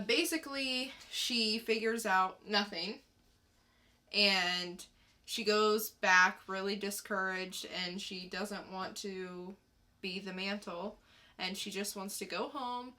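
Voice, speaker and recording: A woman with a bright, crisp-edged tone speaks at a slow pace. She co-hosts a podcast and delivers her recaps and opinions casually, in plain, informal words. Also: mid-range voice. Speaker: podcast co-host reviewing comics. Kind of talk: casual plot recap and review.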